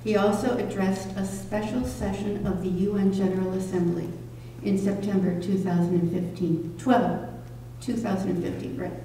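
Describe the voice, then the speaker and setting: An older woman at a podium in a community hall, reading a prepared introduction in a measured, careful way. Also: medium pitch, steady tone, dark tone. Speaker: event organizer introducing a speaker. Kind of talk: prepared introduction read from notes. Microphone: stand microphone at podium, picked up distantly. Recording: echoey hall from far off, faint noise.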